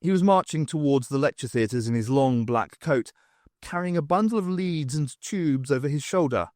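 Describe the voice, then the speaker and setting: A man reading fiction as an audiobook narrator, with expressive scripted delivery. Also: on the high side, normal speed, dry recording, clean studio sound.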